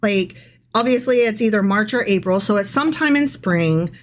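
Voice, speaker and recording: A woman's voice: medium pitch, lively intonation, normal speed, a little hiss or hum. A woman co-hosting a podcast, reading scripture aloud and chatting casually, irreverent and sweary. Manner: irreverent, profane, self-interrupting. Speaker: podcast co-host.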